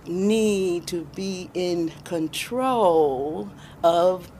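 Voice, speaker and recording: An American woman in her sixties speaks in a somewhat low voice. She tells her story as an interview guest with expressive emphasis. Ambient noise sits behind her. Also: drawn-out words. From born in Alabama, raised in Chicago, USA.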